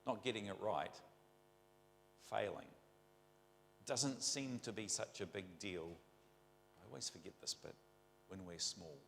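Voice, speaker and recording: Chatty New Zealand preacher, lowish voice. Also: male voice, unhurried, vocal fry, long pauses, a bit bright, reverberant, in a large hall, a little hiss or hum, poor audio. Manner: chatty, rambling, asks rhetorical questions. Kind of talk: conversational sermon. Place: church.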